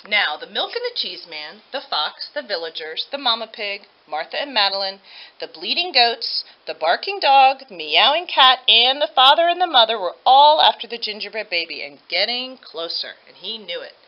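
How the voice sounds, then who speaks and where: A woman narrates a children's storybook. Her voice sits a little high and rises and falls expressively. The sound is somewhat dull and lo-fi. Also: very animated, normal speed. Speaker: storybook reader. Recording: dull lo-fi audio.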